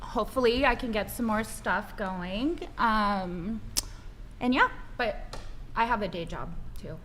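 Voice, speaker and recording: A woman's voice: medium pitch, unhurried, a bit bright, slight background noise. A woman in her thirties chatting casually as a guest on a panel. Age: 30s. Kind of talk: casual chat.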